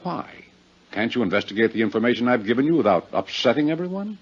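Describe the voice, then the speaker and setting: Uneasy, earnest gentleman witness, voice swinging up and down, defensive, muffled vintage radio drama recording. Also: medium pitch, big pitch swings, fast talker, breathy edge. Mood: defensive, troubled. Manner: earnest, formal, touchy. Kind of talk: 1950s radio drama acting.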